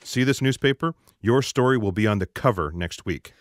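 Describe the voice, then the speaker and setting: A man's voice: on the low side, expressive, moderate pace, no room sound, natural voice. A man playing an earnest, matter-of-fact would-be rescuer in a sci-fi audio drama.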